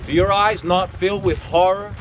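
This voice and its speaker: An actor voicing an anguished game villain who preaches in rhetorical questions, over music. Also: male voice, sits high, fairly even intonation, normal speed, noisy background, natural voice. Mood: impassioned.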